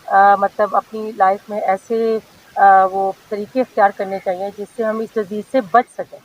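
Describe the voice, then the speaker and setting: A woman gives a measured, slightly hesitant public health statement outdoors. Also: mid-range voice, little pitch movement, phone-quality sound.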